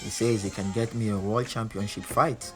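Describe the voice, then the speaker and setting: A man's voice: on the low side, steady tone, moderate pace, lightly acted. An actor playing a proud, defiant male boxer who tells his own story in dramatic first person. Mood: wry, measured. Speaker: narrator in an audio drama. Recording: music behind the voice.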